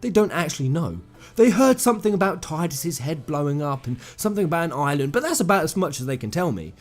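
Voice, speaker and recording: A man with a fairly high voice and very lively, swinging intonation hosts a gaming channel. He gives informal, emphatic opinions in slangy language, gushing like a devoted fan, with faint music behind him. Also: fast talker. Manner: emphatic, slangy, addressing viewers as a group; effusive fan enthusiasm. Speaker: video game channel host. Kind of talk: informal opinion commentary to viewers.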